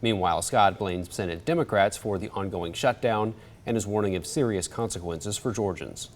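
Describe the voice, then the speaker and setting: Guy anchoring local tv news, lowish voice, steady scripted read. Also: moderate pace.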